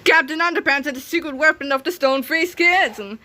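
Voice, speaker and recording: Bright, high-voiced woman reading aloud. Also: a little fast. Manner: expressive, with stumbles over words. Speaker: read-aloud storyteller. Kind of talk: animated children's comic book read-aloud.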